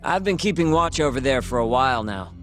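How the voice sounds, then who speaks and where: A man's voice: mid-range voice, animated, brisk, music in the background, lightly acted. An actor playing a cool, self-assured young male hacker in a naturalistic video game scene. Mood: confident. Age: young adult.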